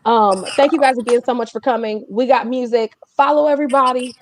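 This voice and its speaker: A woman's voice: a little high, brisk, slight background noise. A woman hosting a casual livestream chat, talking in an informal, chatty way with joking asides. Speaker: host of a music community livestream.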